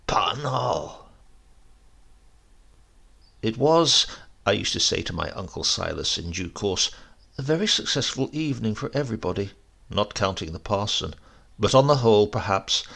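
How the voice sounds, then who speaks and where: A man reading a comic tale aloud with measured, wry timing. His voice carries a gravelly texture, and his pitch moves in lively rises and falls through the narration.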